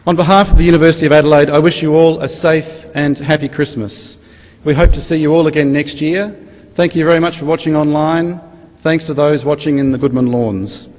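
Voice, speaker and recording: Male MC, slightly high-pitched, formal public announcements, big reverberant hall. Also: steady tone, brisk, darkish tone. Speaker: master of ceremonies. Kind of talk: formal event thanks and announcements. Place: large university hall. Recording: reverberant hall, faint ambient noise.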